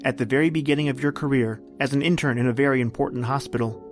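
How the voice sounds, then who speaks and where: A man's voice: medium pitch, quick pace. Theatrical male radio narrator over music. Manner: theatrical storytelling. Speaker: podcast host and narrator. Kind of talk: scripted old-time radio narration.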